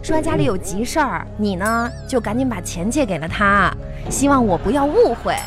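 A woman's voice: fairly high pitch, animated, normal speed, music in the background, close to an everyday voice. A woman acting a shrewd wife in a live comedy radio drama, coaxing and mock-sweet as she questions her husband. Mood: sly, pointed. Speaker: radio show host and performer.

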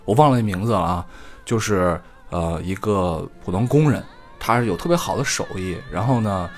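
A man's voice: low-pitched, animated, moderate pace, soft background music. A man in his thirties, a podcast co-host, chatting casually and rambling with lots of fillers and restarts. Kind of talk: casual chat.

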